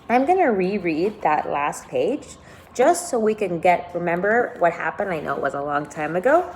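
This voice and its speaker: Expressive woman teacher reading aloud, noisy background. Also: lowish voice. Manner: deliberate, questioning, stressing key words for young listeners. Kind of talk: expressive read-aloud of a children's novel with think-aloud comments.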